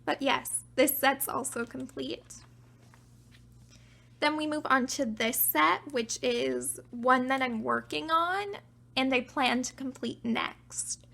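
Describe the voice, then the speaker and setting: A young woman casually talking through her collection, chatty and self-deprecating, her voice getting raspy from talking a while. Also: high-pitched, slow, sharp tone. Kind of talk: casual show-and-tell narration.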